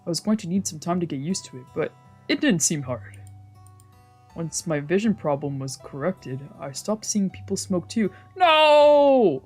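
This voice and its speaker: A woman streamer playing a visual novel, mixing casual, slangy, expressive commentary with reading the game text aloud. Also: fairly low pitch. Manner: expressive, reactive, exclamatory, slangy. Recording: music playing behind the voice.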